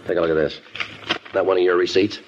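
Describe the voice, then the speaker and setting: An actor playing a terse, businesslike police detective in a 1950s radio crime drama, speaking in a clipped, matter-of-fact way. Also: male voice, deep voice, level tone, quick pace, slight background noise. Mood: firm, terse. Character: businesslike, terse male police detective narrating his case. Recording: muffled 1950s radio recording.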